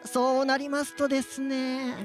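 A man playing a polite, cheeky real estate agent who jokes deadpan in a light comic radio drama. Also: very high-pitched, unhurried, over music.

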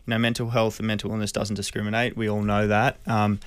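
An Australian man in his thirties telling a personal story in an interview, earnest, hesitant and measured, close on a studio mic. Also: on the low side, little pitch movement, clean tone, no room sound. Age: 30s. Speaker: radio interview guest. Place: small radio studio. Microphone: close studio microphone.

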